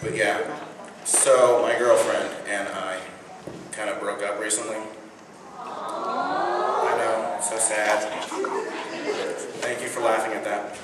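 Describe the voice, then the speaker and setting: A man's voice: lowish voice, big pitch swings, slow, pauses often. A teenage boy performing a self-deprecating stand-up routine with punchy delivery. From Indiana. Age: teens. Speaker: stand-up comedian. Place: large indoor venue with audience. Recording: echoey hall from far off with noise.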